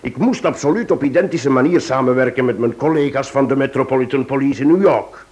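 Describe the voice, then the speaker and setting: Man playing a calm firm police chief in a radio drama, formal and polite, medium voice. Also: medium pitch, natural voice. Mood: measured, planning. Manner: measured, formal, courteous. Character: composed, courteous, firm male police chief inspector.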